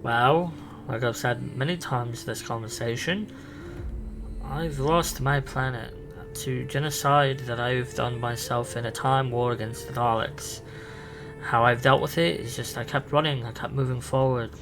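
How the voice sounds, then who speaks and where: A man playing a weary, haunted time-travelling alien adventurer and mentor in a sci-fi roleplay, speaking earnestly with halting, emphatic phrasing. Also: medium pitch, steady tone, music in the background, lightly acted.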